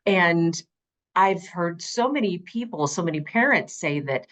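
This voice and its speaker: A middle-aged woman speaking conversationally in an interview as an audiobook narrator, with earnest, expressive and emphatic phrasing. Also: lowish voice, a little slow.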